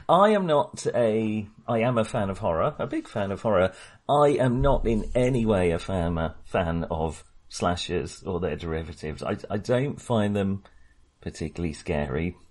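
Man, fairly low pitch, highly varied intonation, casual film talk, hesitant, opinionated. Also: expressive, unhurried. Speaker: podcast co-host.

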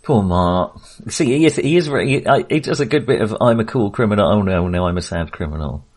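A man's voice: fairly low pitch, animated, a little fast, a little mellow. A man casually discussing a film as a podcast co-host, in a hesitant, rambling way.

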